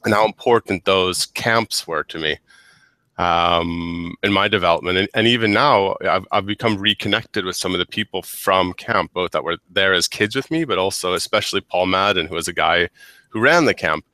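A man's voice: low-pitched, moderate pace, sharp tone, no room sound. A man in his thirties from the United States, a guest on a video call headset, talking casually with many restarts and repeated words. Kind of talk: casual interview chat. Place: small office with whiteboard. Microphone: headset mic on a video call.

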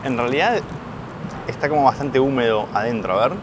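A chatty man in his thirties with an Argentine Spanish accent, talking through a travel food vlog from a handheld selfie camera. His pitch sits in a middling range, and steady traffic and street noise run behind him. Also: brisk. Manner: chatty, playful banter with co-host. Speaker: travel vlogger. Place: outdoors on city streets and cafés. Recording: noisy street recording.

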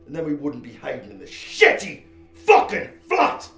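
Male actor plays a volatile crook who snaps orders; bright, swinging delivery. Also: fairly high pitch, wildly swooping pitch, normal speed, gravelly, roomy sound, faint music behind, exaggerated voice. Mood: furious. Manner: hot-tempered, barking orders, swinging to hushed menace. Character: volatile young male criminal on the run.

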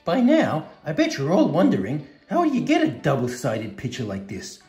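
Guy doing a boastful teddy bear doing kids crafts, soft mellow voice, echoey room. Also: fairly high pitch, huge pitch swings, moderate pace, faint music behind, voice pushed up. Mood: eager. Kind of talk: children's puppet craft tutorial.

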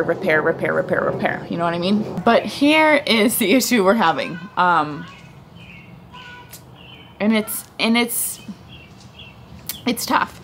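A woman's voice: mid-range voice, slight background noise. Chatty young woman vlogging on porch. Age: young adult. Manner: chatty, rambling, thinking aloud. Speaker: family vlogger. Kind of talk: casual vlog talk to camera. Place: front porch outdoors. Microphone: handheld camera close up.

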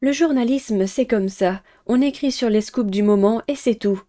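A woman's voice: a little high, normal speed, dark tone, close to an everyday voice. A woman voicing a pushy, nosy teenage school reporter in a visual novel game. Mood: prying.

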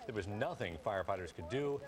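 A man's voice: on the low side, animated, brisk, crowd noise. A male news anchor reading the lead stories with polished studio delivery. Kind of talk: studio newscast.

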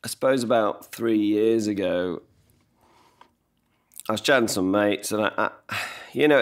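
A man's voice: sits low, gravelly. A man in his forties, a songwriter guest on a video call, talking at length with many restarts and self-deprecating asides, at a desk mic in his living room. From England. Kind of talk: casual podcast conversation. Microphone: desk mic on stand, video call.

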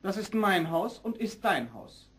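Man playing a stern, higher-voiced fairy-tale minstrel. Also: very animated, measured pace, a bit bright, distant mic, exaggerated voice. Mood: matter-of-fact. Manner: stern, scolding. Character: stern minstrel husband, secretly a king. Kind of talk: fairy-tale audio play acting.

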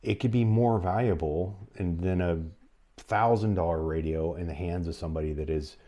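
A North American man in his forties explaining practical advice to camera in measured, halting phrases. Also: low voice, dark tone. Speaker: presenter of an emergency radio advice channel. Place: small furnished room at home, indoors.

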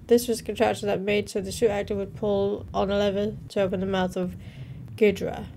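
A woman's voice: mid-range voice, flat delivery, brisk, dark tone. A young adult woman giving casual reaction commentary, reading captions aloud and interjecting informally between them. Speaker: reaction video host. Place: small room at home, indoors. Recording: noticeable background noise.

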